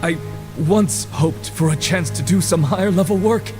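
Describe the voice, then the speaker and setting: An actor playing a hesitant, deferential prison underling in a video game, contrite in manner, with music behind him. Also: male voice, fairly high pitch, normal speed, lightly acted. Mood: flustered, apologetic. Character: nervous, apologetic, deferential male prison underling.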